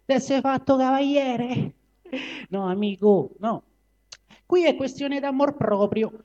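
A man voicing a proud, indignant female monkey in theatrical verse with a Roman accent and drawn-out emphatic words. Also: very high-pitched, mellow, muffled recording, voice pushed up. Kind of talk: dramatic recital of dialect verse.

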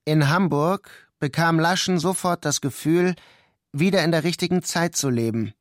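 A man reading scripted literary narration for a radio play in a measured, deliberate way. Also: a little high, no echo, clean studio sound. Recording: prominent music under the voice. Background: music.

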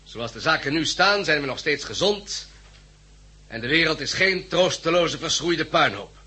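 Earnest chairman, bright and husky. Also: a little high, animated, full character voice. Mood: solemn, resolute. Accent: Dutch, Netherlands. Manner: formal, measured oratory. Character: earnest, resolute male committee chairman rallying survivors. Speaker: radio drama actor. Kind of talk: 1960s radio drama acting, formal speech. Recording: 1960s radio drama recording.

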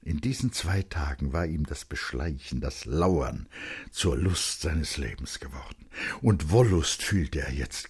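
A man with a husky voice narrating literary fiction for radio in an expressive, suspenseful way, with deliberate dramatic phrasing. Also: low voice.

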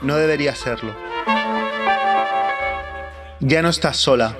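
A male announcer reads a dramatic scripted intro. His mid-pitched voice sits over very loud brass music. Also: slow. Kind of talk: dramatic scripted trailer narration.

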